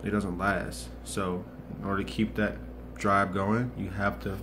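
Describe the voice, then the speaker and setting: A young man in his twenties gives an earnest motivational talk, circling back and repeating phrases as he thinks. His voice sits low and stays fairly flat. A clear layer of background noise runs under the recording. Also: unhurried. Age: 20s. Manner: earnest, rambling, many restarts and repetitions. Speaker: motivational vlogger. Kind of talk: casual motivational monologue.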